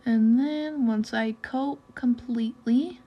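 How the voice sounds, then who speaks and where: A young woman walking through a makeup tutorial in a relaxed, chatty way, with loose fillers as she goes. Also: a little high, slow pace, dull audio. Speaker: beauty vlogger. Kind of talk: casual makeup tutorial narration.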